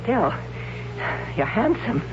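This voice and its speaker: A proud, high-voiced warrior huntress. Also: female voice, very animated, takes it slowly, noisy background. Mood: mocking. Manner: commanding, mocking, theatrical. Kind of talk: 1970s radio drama acting. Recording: dull old radio recording with music.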